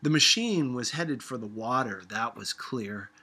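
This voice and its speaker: A man reading a literary story aloud as an audiobook narrator, expressive and dramatic in his delivery. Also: mid-range voice, a little slow, slightly rough. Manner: dramatic, acts out dialogue. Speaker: audiobook reader.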